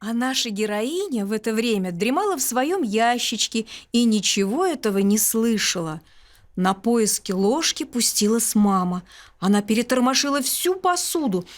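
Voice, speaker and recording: A woman storyteller narrating a children's bedtime tale in an expressive, articulate way. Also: fairly high pitch, normal speed.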